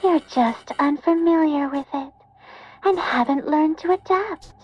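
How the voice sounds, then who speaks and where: Slow, high-voiced late-night radio hostess. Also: female voice. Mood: soothing, beckoning. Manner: measured, gentle, beckoning. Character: soothing, eerily inviting female late-night radio host. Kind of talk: game voice acting as radio broadcast. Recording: muffled band-limited radio-like game audio.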